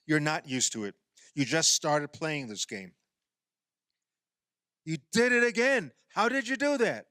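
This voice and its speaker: Actor as a sarcastic sore loser, animated man. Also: on the high side, unhurried, no echo, clean studio sound. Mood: teasing, grudging. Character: self-pitying, sarcastic male amateur basketball player, sore loser. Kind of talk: radio play dialogue.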